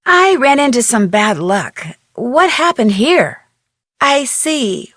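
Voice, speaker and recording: A young woman voicing an inquisitive, polite young detective in a video game, speaking scripted lines clearly and earnestly. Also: a little high, very animated, slow, fairly bright tone, no room sound, studio quality, full character voice. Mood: earnest, inquiring.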